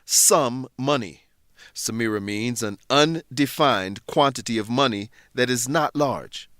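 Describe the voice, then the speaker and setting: A man narrating a scripted English lesson, explaining things in clear phrases for learners. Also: mid-range voice, unhurried, a bit bright, dry recording, clean studio sound.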